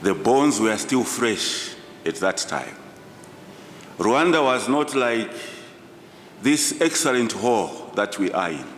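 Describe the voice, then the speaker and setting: Slow, echoing male conference orator. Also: very animated. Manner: deliberate, emphatic, short phrases with pauses. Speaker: professor giving a conference address. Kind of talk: formal public speech, storytelling. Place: large indoor conference hall. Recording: echoey hall, distant reverberant sound, low rumble.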